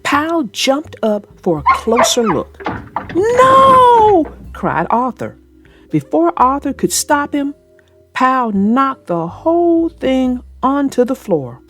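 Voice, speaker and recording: A woman's voice: sits high, big pitch swings, slow, soft background music. A woman hosting and narrating a read-aloud for young children, speaking directly to her young listeners. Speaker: children's book narrator and channel host.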